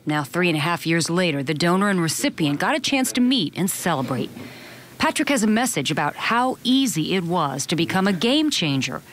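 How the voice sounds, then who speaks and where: A woman's voice: lowish voice, animated, a little fast. A woman news reporter reading scripted narration for a television feature.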